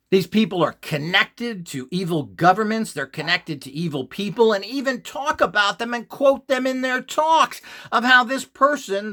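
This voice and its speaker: A man's voice: sits high, bright, forceful tone. A man giving an opinionated monologue as a channel host, emphatic and scornful, often throwing out rhetorical questions. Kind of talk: opinionated monologue to camera or listeners.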